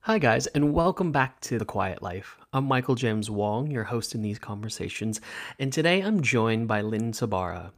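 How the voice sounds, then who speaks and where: A man hosting a podcast conversation as a meditation teacher, speaking in a calm, gentle, measured way. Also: fairly low pitch.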